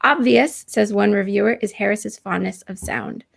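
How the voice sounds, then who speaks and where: A woman's voice: mid-range voice, normal speed, vocal fry. A woman hosting an online poetry interview, introducing writers and putting questions to them. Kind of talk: introductions read out, then conversational questions. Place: live online video call.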